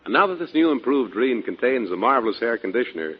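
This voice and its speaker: A man announcing a 1940s radio commercial as a polished sales pitch. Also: very animated, fast talker. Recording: muffled 1940s radio broadcast.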